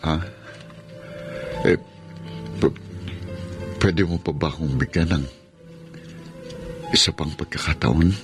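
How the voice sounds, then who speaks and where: An actor playing a remorseful man in a radio drama, pleading in a halting, regretful way with his former lover. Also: extremely low pitch, steady tone, full character voice. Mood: hesitant, pleading. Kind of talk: Filipino radio drama acting. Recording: music behind the voice.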